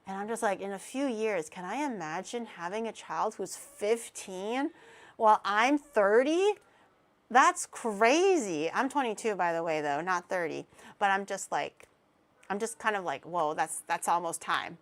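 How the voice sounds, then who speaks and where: A woman's voice: medium pitch, animated, a little slow, fairly bright tone. A woman in her twenties streaming, chatting casually while reacting to clips, rambling, self-mocking and laughing often. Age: 20s.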